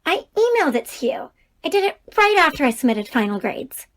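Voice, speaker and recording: A woman's voice: sits high, very animated, fairly quick, a bit bright. An actress playing an earnest, anxious woman college professor in an audio drama, often sounding protesting. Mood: defensive.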